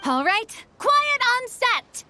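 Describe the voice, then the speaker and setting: A theatrical young female director from a video game. Her voice is high and very lively, with an unhurried, emphatic delivery that is eager and commanding. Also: slight background noise, heavily acted. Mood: commanding, focused.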